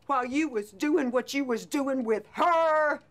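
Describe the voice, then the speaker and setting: An actress playing a wronged, defiant housewife in a raw film drama, plain-spoken and intense. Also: female voice, high voice, a little slow. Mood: bitter, accusing. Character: wronged housewife, wounded, defiant, confronting her cheating husband.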